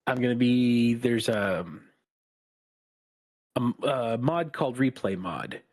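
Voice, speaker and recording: A man co-hosting a podcast, chatting casually in a calm, even-tempered voice that is not raspy. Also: medium pitch. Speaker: podcast co-host. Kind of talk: casual chat.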